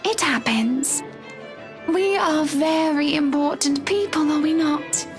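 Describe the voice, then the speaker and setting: A rich young wife in a visual novel, poised and possessive. Her voice is high, cloying when she dotes and haughty when she commands, over faint music. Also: slow pace.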